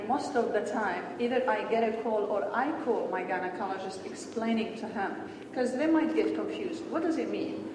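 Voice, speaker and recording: A woman from Syria, giving an earnest medical lecture in short explanatory phrases and answering questions in a large hall. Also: mid-range voice. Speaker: pathology lecturer. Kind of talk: conference lecture and Q&A. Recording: echoey hall, distant mic, background noise.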